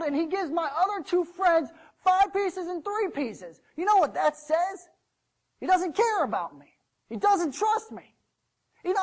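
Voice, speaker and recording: A man playing a bitter, indignant servant who rants loudly and repeats his grievances in a storytelling sermon. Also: very high-pitched, gravelly, slightly rough, exaggerated voice. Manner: loud ranting, aggrieved, repeats himself. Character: bitter, indignant male servant digging a hole.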